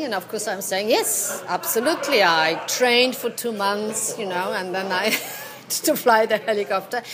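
A woman with a Polish accent, an actress chatting as a guest in a casual pub interview, animated and quick to laugh. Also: medium pitch. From Poland. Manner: animated, laughs often, acts out quotes. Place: busy pub. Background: crowd chatter.

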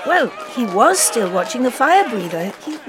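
A lively young companion. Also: female voice, fairly high pitch, moderate pace, a crowd in the background, noisy background. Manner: bright, impatient, exclaiming. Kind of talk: audio drama acting.

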